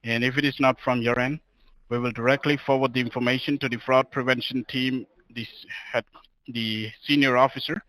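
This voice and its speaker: A man's voice: medium pitch, steady tone, brisk, fairly bright tone, no room sound, low-quality recording. A man acting as a bank phone agent, polite and procedural in formal call-center style. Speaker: phone scammer posing as bank fraud agent. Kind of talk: scripted call-center talk.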